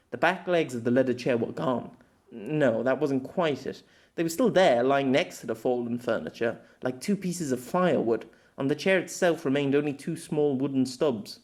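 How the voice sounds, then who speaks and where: An amateur audiobook reader giving an expressive narration of a scripted story. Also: medium pitch.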